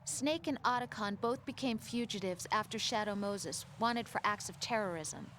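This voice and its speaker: An actress playing a concerned, gentle young woman who is a mission analyst, in a video game cutscene. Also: mid-range voice, moderate pace, slight background noise, lightly acted.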